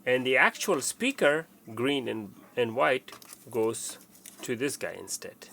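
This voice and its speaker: Male technician for a plain how-to walkthrough: fry-edged voice, casual and repetitive instruction, faint background noise. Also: medium pitch, animated, takes it slowly, a bit bright. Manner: plain, step-by-step explaining, often repeating instructions. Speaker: technician presenting a how-to tutorial. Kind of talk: casual hands-on installation walkthrough.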